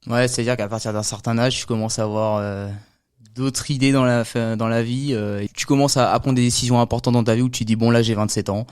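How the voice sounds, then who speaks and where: A man's voice: mid-range voice, steady tone, brisk, darkish tone, clear, resonant tone. A man hosting a casual interview, asking long, informal questions that reword what the guest said. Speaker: podcast host, interviewer.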